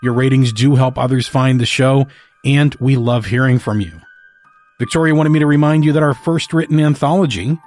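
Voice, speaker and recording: A man hosting a podcast, reading a scripted introduction in an earnest, measured way. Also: mid-range voice, darkish tone. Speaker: podcast host.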